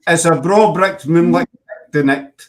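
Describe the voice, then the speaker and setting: Joking Glaswegian man, higher voice. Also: measured pace. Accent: Glaswegian Scottish. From Scotland. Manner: jokey, teasing, uses Scots words. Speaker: podcast host. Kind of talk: casual chat.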